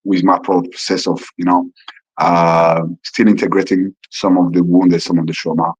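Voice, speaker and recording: A man's voice: low-pitched, flat delivery, normal speed, husky, no room sound. A man in his forties from Rwanda whose first language is Kinyarwanda. He speaks earnestly and reflectively as a podcast guest on a phone, often repeating words as he gathers his thoughts. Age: 40s. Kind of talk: casual remote interview. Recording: lo-fi phone recording.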